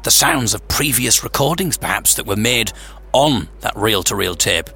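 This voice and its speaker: Theatrical storyteller with animated delivery. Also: male voice, medium pitch, gravelly, husky. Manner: theatrical, suspenseful pauses; wry, dry humour. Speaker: podcast host. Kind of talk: scripted storytelling narration. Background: music.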